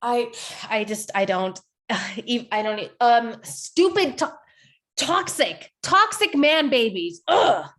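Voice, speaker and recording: A woman acting an indignant young comedian, higher voice. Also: animated, takes it slowly, a bit bright. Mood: flustered fury. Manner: exasperated, emphatic. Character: indignant young female aspiring stand-up comedian. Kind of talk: acting at an online script table read.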